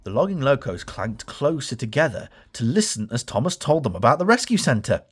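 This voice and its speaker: A man's voice: medium pitch, big pitch swings, fairly quick, slightly rough. A man reading a children's story as a scripted storybook narration. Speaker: story reader.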